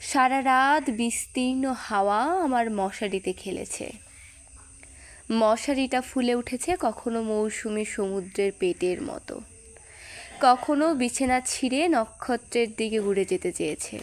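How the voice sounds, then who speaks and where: Woman reciting poetry expressively. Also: a little high. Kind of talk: dramatic Bengali poetry recitation.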